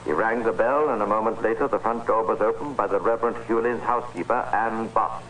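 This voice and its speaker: An actor playing the grave, measured narrator of a crime tale in an old-time radio drama. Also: male voice, fairly low pitch, fairly bright tone, natural voice, poor audio. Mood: grave, ominous. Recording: hissy vintage radio recording.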